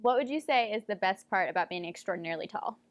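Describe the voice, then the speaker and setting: A woman's voice: medium pitch, expressive, quick pace, no echo, muffled recording. A woman interviewing people and narrating as a reporter in a mock investigative report.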